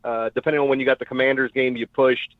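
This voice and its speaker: A man's voice: medium pitch, little pitch movement, quick pace, no echo. A man chatting casually and bluntly as a sports betting guest, heard over a tinny phone-style connection. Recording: tinny phone-like call audio.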